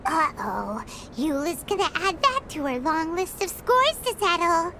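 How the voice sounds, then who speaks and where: A woman voicing a tiny, excitable, childlike game companion with a squeaky voice who talks about herself in the third person. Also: unhurried, slight background noise, heavily acted. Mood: teasing, curious. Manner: refers to self by name in third person. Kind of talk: video game voice acting.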